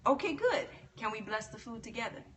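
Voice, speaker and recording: A woman reading as a charming, smooth suitor. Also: a little high, big pitch swings, fairly quick, a bit bright, a little hiss or hum. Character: charming, smooth-talking young man courting a woman.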